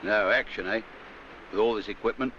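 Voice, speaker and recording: A man's voice: fairly low pitch, a little fast, sharp tone. An actor playing an ordinary British soldier chatting with his comrades in a 1940s war film. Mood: dry, casual. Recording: very noisy muffled 1940s film soundtrack.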